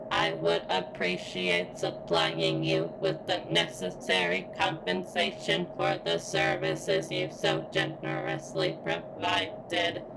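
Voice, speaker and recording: A woman playing a formal, vulnerable, flirtatious sentient surveillance robot in an ASMR roleplay, with stilted, halting phrasing and a pained strain. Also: rumbling low, background noise, full character voice.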